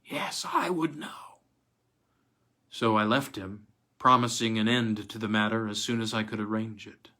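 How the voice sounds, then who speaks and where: A man's voice: lowish voice, little pitch movement, normal speed, bright, forceful tone. Man narrating vintage horror fiction dramatically. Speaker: story reader.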